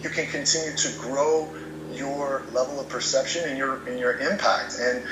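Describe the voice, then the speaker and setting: A man's voice: medium pitch, fairly even intonation, normal speed, echoey room, background noise. A man speaking as a podcast guest in casual chat, earnest and motivational, often restarting words as he goes.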